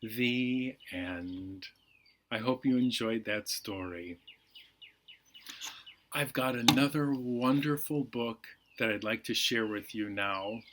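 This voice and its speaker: A man with a medium-pitched voice hosts a bedtime storytime for children. He speaks slowly, with deliberate, unhurried phrasing, as a storyteller does when reading aloud. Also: fairly bright tone, slight background noise. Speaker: library storytime host.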